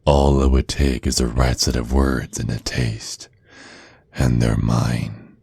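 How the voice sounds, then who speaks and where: A man playing a menacing, possessive vampire neighbor in an intimate ASMR roleplay, speaking in a slow, teasing, seductive way straight to the listener. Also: extremely low pitch, level tone, darkish tone, dry recording, heavily acted. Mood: taunting, menacing. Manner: slow, teasing, deliberate, with breathy drops toward a whisper.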